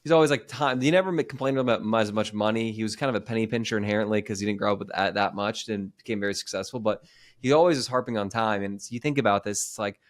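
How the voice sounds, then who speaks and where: A man in his thirties hosting a podcast, chatting casually in a quick, rambling way with many restarts. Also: lowish voice. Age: 30s. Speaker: podcast host. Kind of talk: casual interview chat.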